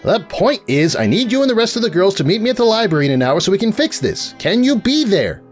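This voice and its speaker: A man's voice: high-pitched, sing-song, fast, soft background music, pitched above the performer's own voice. A man voicing an undead young female pony, earnest, upbeat and awkward, in a cartoon story reading. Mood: brisk, upbeat.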